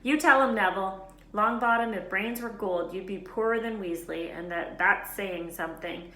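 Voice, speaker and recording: A woman's voice: medium pitch, moderate pace, bright, forceful tone, close to an everyday voice. A woman voicing a loyal, hot-tempered schoolboy who speaks bluntly and indignantly. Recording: roomy echo, faint background hiss.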